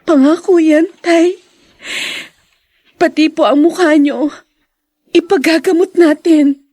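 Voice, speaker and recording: An actress playing a devoted, anguished young daughter with earnest emotion in a Filipino radio drama. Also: female voice, high voice, fairly even intonation, takes it slowly, mellow, dry recording. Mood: tender, resolute.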